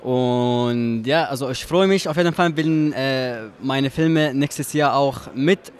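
A man's voice: medium pitch, takes it slowly, clear, resonant tone. A man, a young filmmaker, talking casually with lots of fillers.

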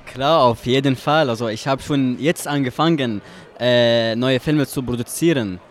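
A man's voice: medium pitch, unhurried. A man with a mid-range voice chatting loosely, leaning on fillers like 'quasi' and 'also'. He is recorded outdoors in an urban setting. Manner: casual, filler-heavy. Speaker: young filmmaker.

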